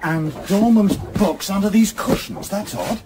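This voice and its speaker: An actor playing a plain-spoken, uneasy sailor and loyal companion in a radio play, blunt and a little hesitant. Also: male voice, high-pitched, unhurried, background noise. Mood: puzzled, curious.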